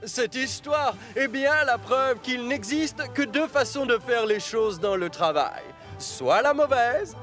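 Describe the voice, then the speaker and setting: A man's voice: very high voice, lively intonation, takes it slowly, few pauses, fairly bright tone, faint music behind, heavily acted. A man voicing an earnest, proud little tank engine in a French children's cartoon, eager and instructive.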